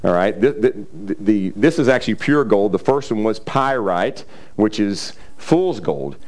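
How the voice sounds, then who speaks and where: Middle-aged guy pastor preaching, bit raspy, kinda low voice, lots of ums and clearing his throat. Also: big pitch swings, a little slow, darkish tone. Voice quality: slightly raspy from cheering. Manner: conversational, many fillers, clears throat often. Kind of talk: sermon with scripture reading.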